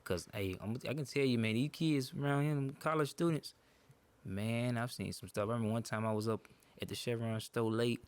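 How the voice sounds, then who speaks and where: A man's voice: medium pitch, a little fast, smooth. A man hosting a casual podcast conversation, speaking in colloquial, slangy phrases like a storyteller. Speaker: podcast host.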